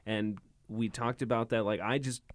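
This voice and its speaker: A man co-hosting a sports radio show in a studio, talking casually and jokingly, swinging his pitch up for emphasis. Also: normal speed, no room sound. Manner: animated, joking, big pitch jumps.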